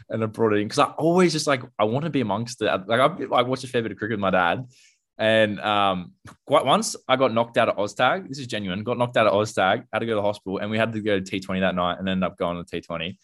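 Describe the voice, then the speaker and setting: A young man with an Australian accent and a low-pitched voice chats as a podcast co-host. He muses out loud in an informal, slangy way. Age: young adult. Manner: musing, slangy. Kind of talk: casual chat.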